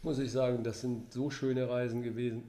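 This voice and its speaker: A man's voice: medium pitch, little pitch movement, fairly quick, mellow, roomy sound, a little hiss or hum. An older grandfather talking as a podcast guest, hesitant and thinking aloud with many fillers. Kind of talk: casual conversation.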